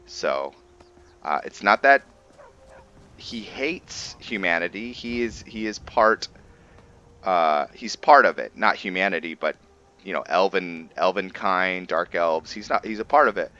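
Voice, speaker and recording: A man's voice: low voice, a little slow, pushed and bright, muffled recording. A man giving casual let's play commentary, musing and explaining as he goes. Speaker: host of a gaming roleplay series.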